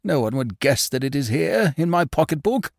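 A man voicing a big, fat, excitable uncle who bets on horses, blustering and exclamatory, in a storybook. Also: a little high, moderate pace, vocal fry, dry recording, clean studio sound, pitched above the performer's own voice, exaggerated voice. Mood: dismissive. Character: big fat red-faced uncle, jovial bettor, excitable.

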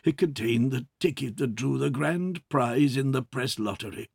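A man's voice: medium pitch, normal speed, vocal fry, breathy edge, no room sound, studio quality. A man reading aloud, voicing a panicked middle-aged French father who stammers in alarm. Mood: stunned, hushed. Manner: stammering, frantic.

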